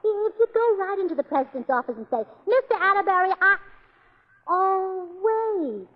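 A chirpy, scatterbrained young wife. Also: female voice, very high-pitched, normal speed. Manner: bubbly, chirpy, deadpan about her own nonsense. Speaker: comic lead. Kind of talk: 1940s radio comedy acting. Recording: slightly dull old radio recording.

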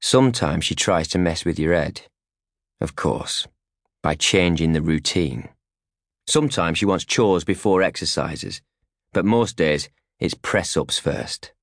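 A man's voice: deep voice, measured pace, no room sound, studio quality. A man reading scripted fiction as an audiobook narrator, in terse, clipped phrases. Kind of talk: scripted first-hand fiction narration in second person. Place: recording studio.

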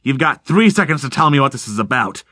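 A man reading aloud, voicing a gruff middle-aged crook who snarls and blusters in tough street slang. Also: medium pitch, sing-song, brisk, bright, forceful tone, no echo, clean studio sound. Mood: snarling.

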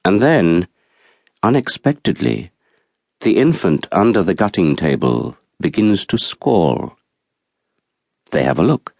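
A man reading a literary novel as an audiobook narrator, in a measured, articulate storytelling manner. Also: extremely low pitch, a little mellow, dry recording, studio quality.

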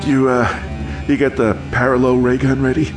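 An actor playing a nervous, grumbling, rough-spoken crook's sidekick in a radio play. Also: male voice, medium pitch, level tone, normal speed, natural voice. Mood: nervous, doubtful. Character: whiny, nervous, uneducated male crook, a doubting sidekick. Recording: roomy echo, music behind.

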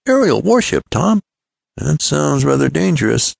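A man's voice: fairly low pitch, very animated, moderate pace, creaky, dry recording, clean studio sound, voice pushed up, full character voice. A man voicing an eager, joking young male friend of an inventor in an adventure storybook, breezy, exclamatory and full of questions. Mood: surprised, eager.

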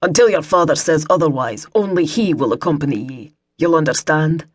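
A woman voicing a stern, measured nobleman in an audiobook, with a husky, formal delivery. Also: sits low, dry recording, studio quality. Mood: guarded, conceding. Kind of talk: audiobook character voice.

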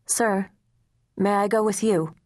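A woman's voice: mid-range voice, fairly even intonation, slow pace, a little mellow, no room sound, pitched above the performer's own voice, close to an everyday voice. Casting: earnest young boy soldier, polite and eager, read by a woman, dry close sound.

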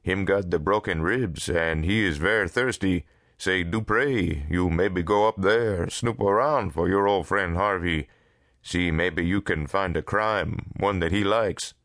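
A man voicing a gruff, weary rural man who talks bluntly in folksy broken grammar, in an audiobook. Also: sits low, slow pace, a bit bright, no echo, clean studio sound, heavily acted. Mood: sardonic.

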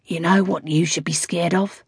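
A woman's voice: fairly low pitch, steady tone, normal speed, creaky, husky, dry recording, studio quality, exaggerated voice. A woman voicing a middle-aged male cycling coach with a drawling, mocking manner. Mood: needling.

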